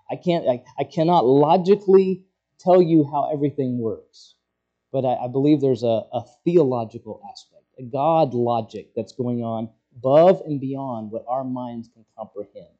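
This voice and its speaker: A male preacher with a very dark, mellow voice explains scripture in a teaching style. He tends to halt and feel out his words as he goes. The recording is somewhat dull and muffled. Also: mid-range voice. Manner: halting, searching for words aloud. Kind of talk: expository sermon, teaching scripture verse by verse.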